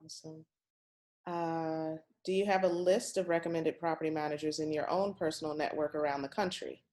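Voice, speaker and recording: A woman's voice: sits low, steady tone, fairly quick, a little mellow. A woman hosting a question-and-answer session in a casual, chatty, encouraging way with informal, folksy phrasing. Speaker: host of a real estate question-and-answer session.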